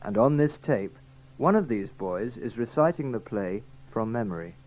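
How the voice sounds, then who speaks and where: A man's voice: lowish voice, animated, normal speed. A man giving a spoken introduction to a recorded recitation. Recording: dull, muffled old tape.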